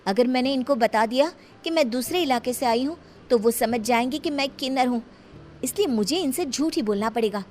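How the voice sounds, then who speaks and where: A woman's voice: sits high, brisk, a little mellow, a little hiss or hum, close to an everyday voice. A woman voicing a curious, wilful young transgender village girl in a cartoon story. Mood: scheming.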